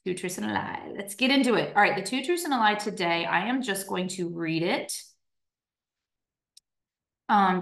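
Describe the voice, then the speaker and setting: A woman's voice: medium pitch, gravelly, fairly bright tone. A woman in her thirties, a strength coach, talking casually and upbeat to her online group, with lots of fillers and tag questions. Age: 30s. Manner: chatty, upbeat, many fillers and "right?". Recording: roomy echo.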